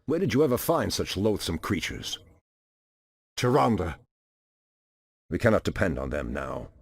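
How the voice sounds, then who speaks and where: An ancient druid leader in a fantasy video game, with a low, gravelly voice that swings widely in pitch. He speaks formally and theatrically, solemn and commanding, with righteous anger close beneath the surface. The recording is close and dry. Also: big pitch swings, measured pace, no echo, full character voice. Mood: stern. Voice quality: gravelly, husky.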